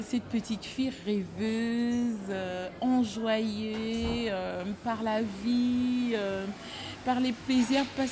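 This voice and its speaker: A woman from French Guiana, the founder of a fashion brand, talks about her childhood in an interview, slowly and hesitantly, with many fillers. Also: a little high, background noise.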